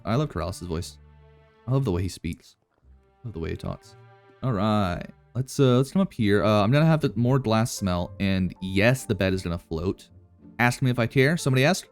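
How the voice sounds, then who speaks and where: A man giving casual, rambling gameplay commentary and answering chat, joking about himself and then boasting. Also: lowish voice. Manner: rambling, self-deprecating, playfully cocky. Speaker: Minecraft YouTuber.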